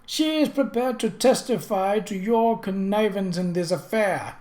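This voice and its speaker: A narrator voicing an imperious, mocking British prince in an audiobook, pompous and theatrical, with deliberate, drawn-out emphasis. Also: mid-range voice, darkish tone, roomy sound, pitched above the performer's own voice, lightly acted. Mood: brisk, pointed. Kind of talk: audiobook character voice.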